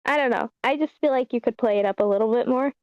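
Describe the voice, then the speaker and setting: A woman's voice: fairly high pitch, quick pace, smooth, no room sound, muffled recording. A woman chatting informally as she gives feedback at an online script table read. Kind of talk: casual feedback chat. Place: online video meeting.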